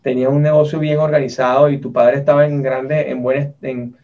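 A man's voice: medium pitch, little pitch movement, brisk. A Venezuelan-accented man in his forties telling stories casually, in a colloquial way with frequent tag questions. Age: 40s. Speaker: interviewer, entrepreneur. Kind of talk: casual conversational storytelling. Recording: muffled, distant from the microphone.

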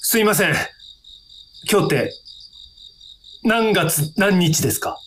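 A man's voice: high-pitched, slow pace, a bit bright, a little hiss or hum. An actor playing a desperate young man caught in a time loop, muttering in an exasperated way. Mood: weary. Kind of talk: radio drama acting.

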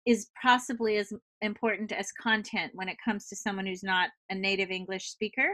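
American woman, middle aged, bright punchy voice, explaining stuff clearly in a chat. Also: mid-range voice, steady tone, fairly quick. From United States. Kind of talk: conversational advice in an interview.

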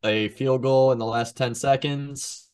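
A man's voice: medium pitch, fairly even intonation, measured pace, darkish tone, clean tone. A young man co-hosting a sports podcast, giving casual commentary and chat among friends.

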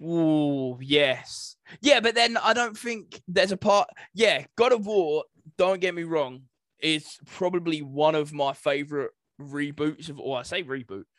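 A man's voice: fairly high pitch, measured pace, fairly bright tone, dry recording, clean studio sound. A man chatting casually as a podcast co-host. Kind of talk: casual chat.

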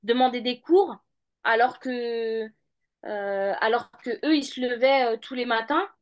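A woman's voice: a little high, unhurried. A woman in her thirties, a law student answering interview questions in a casual, hesitant way with many fillers and restarts. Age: 30s.

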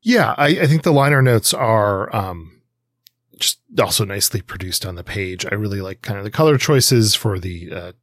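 Lowish voiced guy on a podcast, kinda rambly n hesitant, thinking out loud, dry close sound. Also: animated, normal speed, no echo. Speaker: podcast host. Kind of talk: casual review discussion.

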